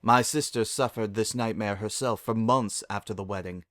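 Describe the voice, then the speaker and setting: A man voicing a regal, gentle princess mare who speaks in a formal, measured and solemn way for a story reading. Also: fairly low pitch, dry recording, studio quality, natural voice. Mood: regretful. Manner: formal, measured, archaic courtesy.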